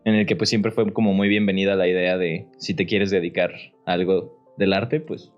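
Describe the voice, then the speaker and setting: A Mexican man with a fairly low voice talks as a guest actor on a podcast. His delivery is casual and slangy, with frequent tag questions. Faint music plays behind him. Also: fairly even intonation, normal speed, lo-fi sound. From Puerto Vallarta, Mexico. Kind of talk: casual chat.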